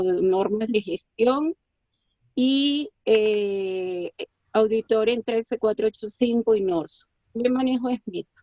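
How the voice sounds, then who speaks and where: A woman's voice: mid-range voice, no echo. Woman presenting in a dull, dry recording. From Colombia. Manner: steady explanatory delivery with frequent hesitations. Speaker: quality management consultant and auditor. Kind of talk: webinar presentation.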